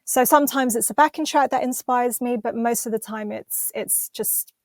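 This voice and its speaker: High-voiced chatty English woman. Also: moderate pace, dry recording. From London, England. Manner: chatty and enthusiastic, many small restarts. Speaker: singer, podcast guest. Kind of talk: casual interview chat.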